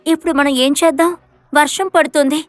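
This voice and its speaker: A woman voicing a brave, sorrowful, polite orphan village girl in an animated moral story, earnest and pleading. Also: high-pitched, brisk, no room sound, faint music behind, natural voice. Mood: worried, resolute.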